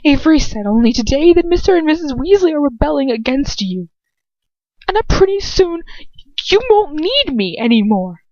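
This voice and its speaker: A woman's voice: sits high, big pitch swings, moderate pace, dark tone, no room sound, lo-fi sound, voice pushed up. A woman voicing a heartbroken young woman who pleads with her lover in an emotional, trembling story reading. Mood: desperate.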